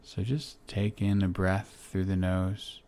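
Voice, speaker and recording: A man leading a guided meditation in a gentle, soothing manner, with long pauses between short phrases. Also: low voice, flat delivery, a little hiss or hum. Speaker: guide of a relaxation exercise. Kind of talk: guided meditation, body scan.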